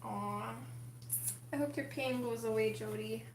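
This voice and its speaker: A woman hosting a casual livestream, chatting with viewers as she colors, her voice a little gravelly from just waking up. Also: a little high, measured pace, roomy sound, a little hiss or hum, rough recording. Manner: chatty, reads and answers chat comments. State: slightly gravelly morning voice. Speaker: livestream host.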